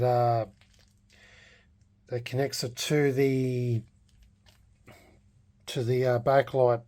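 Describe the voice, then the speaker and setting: A man with a mellow, relaxed voice at a middling pitch talks through a hobby project in an unscripted vlog. He speaks haltingly and casually, feeling his way through each thought aloud. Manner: hesitant, halting, thinking aloud. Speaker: hobbyist vlogger restoring a vintage radio.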